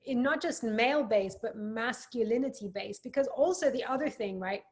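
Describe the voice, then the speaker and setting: Articulate woman, fairly high voice. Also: normal speed, darkish tone. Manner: thoughtful, articulate, with frequent agreeing interjections. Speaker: podcast guest. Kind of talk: reflective conversation.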